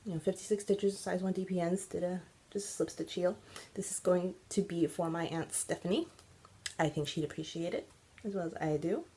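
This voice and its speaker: A woman's voice: lowish voice, gravelly, darkish tone, slightly rough. A stuffy, congested woman hosting a casual knitting video podcast, chatty and rambling with many ums. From Central California. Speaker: podcast host.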